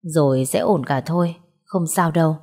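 A woman voicing a calm, protective young husband in an audio story, measured and reassuring, with quiet firmness. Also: deep voice.

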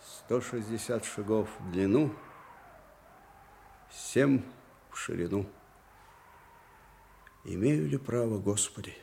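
An actor playing an aging army commander, fiery and anguished, in a theatrical radio drama with declamatory, weighty delivery. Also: male voice, on the low side, gravelly, slight background noise. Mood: anguished, praying. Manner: declamatory, slow and weighty, swinging from hushed to thundering.

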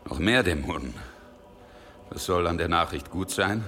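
An actor playing a menacing gang leader, gravelly, commanding and sneering, in a radio drama. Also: male voice, low voice, expressive, moderate pace, pushed and bright. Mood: impatient, commanding. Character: menacing, mocking, illiterate male gang leader.

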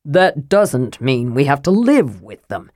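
A woman's voice: rumbling low, sing-song, slow, a little mellow, no echo, studio quality, voice pushed down, exaggerated voice. Woman playing a stiff, condescending theocrat. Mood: dismissive. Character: pompous male high theocrat, condescending.

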